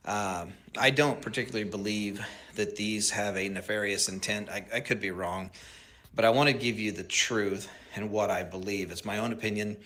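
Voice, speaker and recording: Man, drone manufacturer, low voice, bright tone, earnest and measured. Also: steady tone. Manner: earnest, measured, a bit halting. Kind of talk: selfie video opinion.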